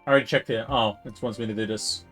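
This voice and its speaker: A man casually commenting on a live game stream, rambling as he thinks out loud. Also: lowish voice, steady tone, fast talker, faint music behind.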